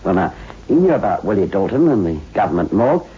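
A brisk, wry police detective in a vintage radio crime drama. His chatty delivery swings widely in pitch, through a muffled recording with light hiss. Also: sing-song, dark tone. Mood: brisk explaining. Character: brisk, wryly amused male London police detective. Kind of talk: 1960s radio drama acting.

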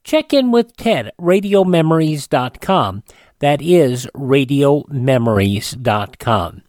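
A man's voice: mid-range voice, animated, slow, a little mellow, no echo, clean studio sound. A man hosting a classic radio program in an informal, partly ad-libbed style. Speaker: radio host.

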